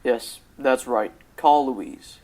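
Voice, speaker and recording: A man's voice: mid-range voice, slow, mellow. A teenage boy playing a troubled, pleading company boss and devoted husband in a radio drama. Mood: shocked. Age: teens, high school student.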